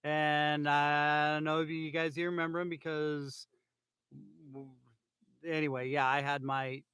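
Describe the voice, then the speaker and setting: A man's voice: fairly high pitch, little pitch movement, a little slow, a bit bright, smooth, no echo, studio quality. A man from California hosting a casual podcast, rambling with lots of fillers and restarts, a bit loopy on pain pills, talking into an iPhone. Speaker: podcast host. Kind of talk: casual chat.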